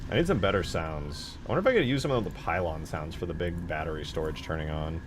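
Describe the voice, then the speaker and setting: A man's voice: low-pitched, animated, fast, background noise. A man commenting casually on his screen as he works on a game mod, thinking aloud in informal, sweary language. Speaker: game modder, channel host. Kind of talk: casual screen-share commentary while working. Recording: music behind the voice. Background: music.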